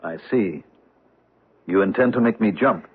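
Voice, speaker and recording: An actor in a 1970s radio drama playing a proud SS officer who is unafraid of death, stiff and defiant. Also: male voice, lowish voice, a little slow, breathy edge. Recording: narrow tinny 1970s radio broadcast.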